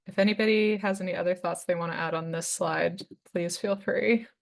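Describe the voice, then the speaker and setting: A woman's voice: fairly low pitch, steady tone, brisk, gravelly, poor audio. A young woman resident moderating a webinar panel, introducing speakers and asking questions in a friendly manner. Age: young adult.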